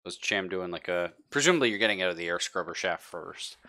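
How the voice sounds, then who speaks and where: A man's voice: low voice, expressive, a little fast, sharp tone. A man running a tabletop roleplaying game, narrating off the cuff in a casual, slangy way with some swearing. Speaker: game master.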